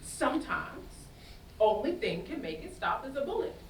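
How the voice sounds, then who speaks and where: A woman's voice: high-pitched, big pitch swings, moderate pace. A woman playing a worldly, cynical, hard-bitten Creole woman in a staged play reading, speaking bluntly and knowingly in vernacular. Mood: urging, blunt. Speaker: student actor in a staged reading. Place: indoor festival staged reading. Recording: echoey room, distant mic, faint noise.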